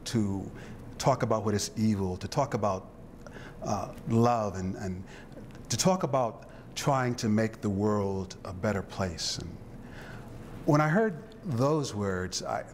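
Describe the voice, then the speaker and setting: Low-voiced thoughtful male interviewer. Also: a little hiss or hum. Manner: thoughtful, halting, many restarts and fillers. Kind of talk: reflective interview.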